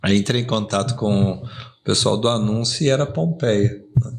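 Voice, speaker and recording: An older man from São Paulo with a Brazilian accent, relaxed and chatty as he tells stories, full of fillers and asides. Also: on the low side, moderate pace, gravelly, a little hiss or hum. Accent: Brazilian Portuguese, São Paulo. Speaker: guest entrepreneur. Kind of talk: casual storytelling interview.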